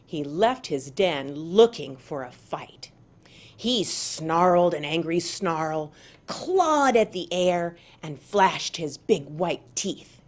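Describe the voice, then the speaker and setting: A woman reading aloud to children as a storyteller, with deliberate, dramatic emphasis. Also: on the low side, expressive. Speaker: parent and school board member reading aloud.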